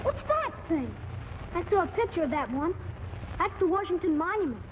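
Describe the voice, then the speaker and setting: An eager little boy in an old radio comedy, piping very high. He talks in earnest, slangy bursts, full of brash confidence. The sound is thin and phone-like, with clear noise over it. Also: very animated, normal speed. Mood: excited, curious. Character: eager, brash little boy visiting Washington, streetwise kid. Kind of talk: 1940s radio comedy acting. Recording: tinny, noisy 1940s radio recording.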